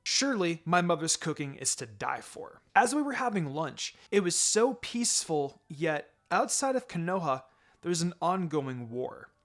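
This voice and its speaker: A man reading a story aloud in an expressive, dramatic way as its narrator. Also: fairly high pitch, normal speed. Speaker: fan fiction reader and narrator.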